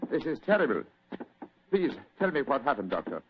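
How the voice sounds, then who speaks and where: Man acting a lively Spanish gentleman. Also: medium pitch, a little hiss or hum, lightly acted. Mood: anxious. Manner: halting, searching for English words. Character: courtly, excitable Spanish gentleman, flowery. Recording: muffled old radio recording.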